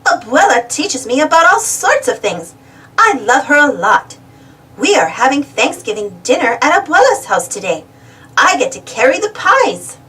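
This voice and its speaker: A woman's voice: high-pitched, big pitch swings, normal speed, pushed and bright, far from the mic, poor audio. A woman reading a storybook aloud to young children, expressively and addressing the listeners directly. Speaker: storybook reader.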